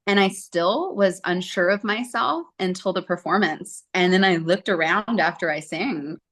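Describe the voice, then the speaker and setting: A woman in her forties, a singer being interviewed, telling her story in a chatty, animated way. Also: on the low side, moderate pace. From Southern California. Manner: chatty, animated, acts out quotes.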